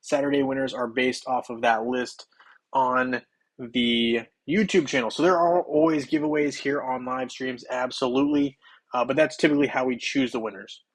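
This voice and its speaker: A young man hosting a game livestream, his voice a little hoarse and scratchy. He keeps a casual, upbeat patter, welcoming chatters by name in a middle-pitched voice. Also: fairly even intonation, normal speed. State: slightly hoarse.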